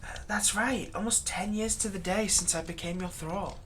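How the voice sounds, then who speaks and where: A man's voice: high voice, steady tone, normal speed, a bit bright, slight background noise. A man playing a servile, nervous vampire's thrall in a roleplay audio drama, stammering and deferential. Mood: wistful, bitter. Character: servile, nervous male vampire's thrall, bitterly eager to please.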